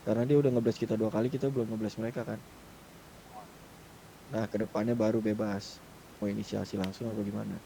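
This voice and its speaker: A man's voice: on the low side, little pitch movement, quick pace, creaky, long pauses, dark tone, a little hiss or hum, rough recording. A man chatting casually in slang with his group on a gaming voice chat. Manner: colloquial slang. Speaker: gamer planning with group.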